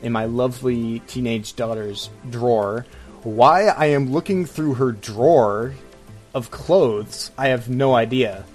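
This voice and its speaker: A man hosting a casual podcast, ranting in a halting, sarcastic way. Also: mid-range voice, darkish tone, soft background music. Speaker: podcast host.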